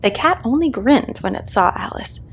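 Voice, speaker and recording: Female storyteller for children's audiobook: calming read-aloud, animated intonation, gravelly fry at the edges. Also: on the high side, normal speed, a little hiss or hum.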